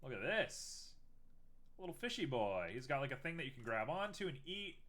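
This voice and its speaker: A man's voice: medium pitch, big pitch swings, normal speed, a bit bright. A young man streaming live while making art, chatting casually and teasing himself as he works. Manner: chatty, self-mocking, thinks aloud while working.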